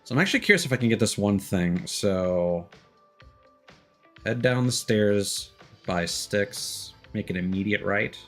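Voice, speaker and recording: A man's voice: on the low side, lively intonation, a little slow, soft background music. A man giving casual livestream commentary on a video game, rambling and thinking aloud.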